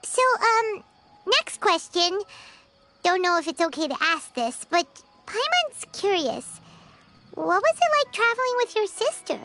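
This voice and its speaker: A woman voicing a tiny, childlike, chirpy fairy companion with a squeaky voice in a video game. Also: big pitch swings, measured pace, bright, forceful tone, slight background noise, exaggerated voice. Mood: bashful. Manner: speaks of herself in third person, exclamatory. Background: game music.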